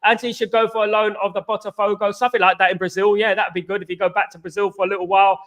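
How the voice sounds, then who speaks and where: A man's voice: high voice, flat delivery, racing, a bit bright. An English man hosting a casual livestream, chatting loosely, reading out viewer comments and greeting viewers by name. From England. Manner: chatty, repeats "absolutely" and "big up". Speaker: livestream host.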